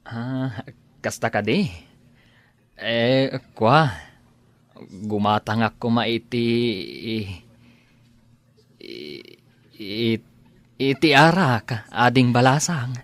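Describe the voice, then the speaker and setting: A man's voice: mid-range voice, slow, long pauses, fairly bright tone, no room sound. A man playing a smitten, bashful village drinker in an audio drama. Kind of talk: Philippine radio-style audio drama acting.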